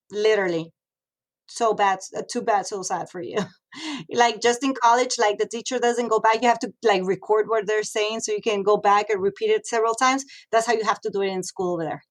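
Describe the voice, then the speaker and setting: A woman's voice: a little high, fairly quick. A woman in her thirties, originally from Mexico, talking frankly in a casual interview, with many fillers and restarts. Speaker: guest, radio host and model.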